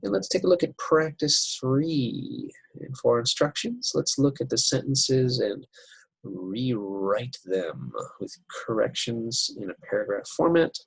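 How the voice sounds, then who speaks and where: A man teaching an English writing lesson over slides, unhurried and step by step, with many fillers. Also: mid-range voice, vocal fry, breathy edge, no room sound, poor audio.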